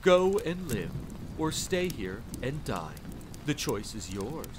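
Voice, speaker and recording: Man playing aristocratic male vampire, suave, smug, cooing, unhurried, mocking menace, rain behind. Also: medium pitch, background noise. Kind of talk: storybook villain in audio roleplay. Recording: steady rain ambience behind.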